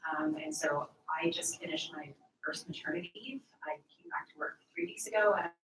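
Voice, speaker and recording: A woman's voice: sits low, a little fast. A woman in her thirties, a lawyer, introducing herself to a group in a wry, breezy way. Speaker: corporate lawyer. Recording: echoey room, distant, clear noise.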